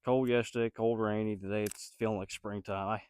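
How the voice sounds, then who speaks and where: A man's voice: lowish voice, steady tone, a little fast, darkish tone, clean tone. A man with a cold-stuffed nasal voice, thinking aloud in a hesitant, rambling way as he works on a building project and talks to his viewers. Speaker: DIY builder vlogger. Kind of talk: casual self-talk while building.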